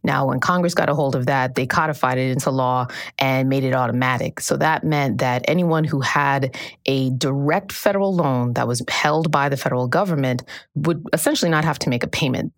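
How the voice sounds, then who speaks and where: A woman reporter explaining her reporting in a news podcast interview, clear and measured. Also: very deep voice, dry recording, studio quality.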